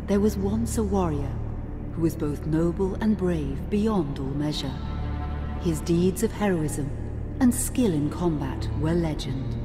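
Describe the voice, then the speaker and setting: A solemn female teller of gothic legend, her voice on the low side and washed in long reverb. She narrates a game cutscene gravely and with measured weight, over clear music. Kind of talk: scripted dramatic narration.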